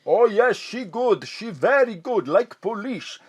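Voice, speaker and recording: A man's voice: sits high, lively intonation, measured pace, breathy edge, full character voice. A man playing a chatty Greek landlord with a Greek accent, cheeky and jokey, in broken English. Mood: jovial. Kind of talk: audio drama acting.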